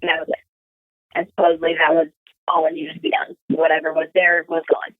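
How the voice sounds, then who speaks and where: Young woman, low voice, phone-like sound. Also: monotone, a little fast, no echo. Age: young adult. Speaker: podcast guest. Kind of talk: casual personal storytelling. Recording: narrow phone-like call audio.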